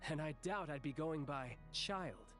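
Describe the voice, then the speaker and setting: High-pitched game delegate, earnest and articulate, pressing a point in formal debate. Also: male voice, a little slow, fairly bright tone, faint music behind. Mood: dry, firm. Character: earnest, insistent male political representative.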